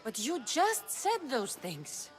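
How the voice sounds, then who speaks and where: A woman's voice: high-pitched, wildly swooping pitch, takes it slowly, faint music behind, exaggerated voice. An actress playing a blunt, sceptical woman warrior of ancient Greece in a video game, direct and challenging. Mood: exasperated. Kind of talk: dramatic video game dialogue.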